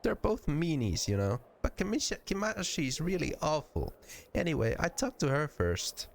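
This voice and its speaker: A man's voice: on the high side, very animated, normal speed, vocal fry, slight background noise, pitched above the performer's own voice. A man voicing a tiny, timid, too-nice young woman from a game, with a hesitant, gushing manner. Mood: cheerful. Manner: hesitant, gushing, exaggerated when scolding.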